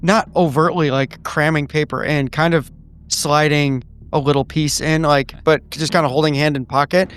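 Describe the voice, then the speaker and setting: A man's voice: a little high, steady tone, moderate pace, a bit bright, clean tone. A man taking part as a player in a tabletop roleplaying game, casually narrating what his character does.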